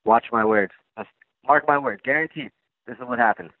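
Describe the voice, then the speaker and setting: A man's voice: medium pitch, a little fast, no room sound. Brash young man on a phone line. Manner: brash, boastful, keeps repeating guarantees. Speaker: guest fighter. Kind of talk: phone interview. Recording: tinny dry phone line.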